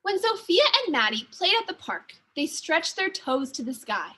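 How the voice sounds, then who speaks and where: A woman teacher reading a children's story aloud with expressive, deliberate narration. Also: sits high.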